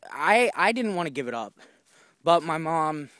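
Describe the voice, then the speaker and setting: A man's voice: on the high side, big pitch swings, moderate pace, fairly bright tone, no echo. A young man walking a wooded trail, telling a rambling, profane story into a handheld phone full of fillers and restarts. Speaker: vlogger. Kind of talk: rambling walk-and-talk storytelling. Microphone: handheld phone camera while walking.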